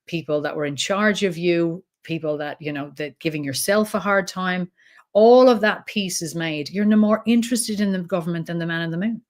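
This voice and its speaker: Blunt, animated Irish woman. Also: fairly low pitch. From Ireland. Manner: blunt, animated, swears freely. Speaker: trauma expert guest. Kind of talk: podcast interview conversation.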